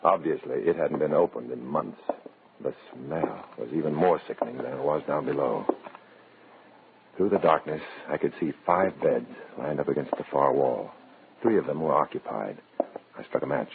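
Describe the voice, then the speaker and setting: A man's voice: very deep voice, normal speed, gravelly. An actor playing a tense, hard-boiled undercover agent, narrating his own story in clipped, dramatic 1950s radio suspense style. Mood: tense, grim. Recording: crackly, narrow-band old radio recording.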